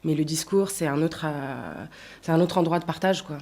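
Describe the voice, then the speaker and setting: Thoughtful Tunisian-born woman, lowish voice. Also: normal speed, a little mellow. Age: 30s. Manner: thoughtful, many hesitations and restarts. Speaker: singer-songwriter guest. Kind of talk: radio interview answers.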